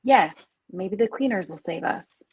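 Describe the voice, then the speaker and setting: A woman reads a sardonic, fatalistic horror-script survivor. The voice is husky and breathy at a medium pitch, in the manner of a live table read. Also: lively intonation, measured pace, a little mellow. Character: fatalistic, sardonic woman stranded with a killer nearby.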